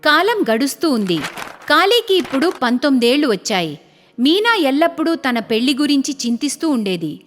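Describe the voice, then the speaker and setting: A woman narrating a scripted cartoon story in an expressive storytelling style. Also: sits high, moderate pace, in a large hall. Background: faint music.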